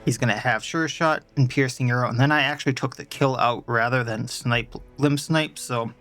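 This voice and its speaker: A man's voice: medium pitch, steady tone, moderate pace, fairly bright tone. A man with a stuffed-up nose and a sore throat, chatting casually as he plays and explains his party's stats at length. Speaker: let's play host. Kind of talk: casual gameplay commentary.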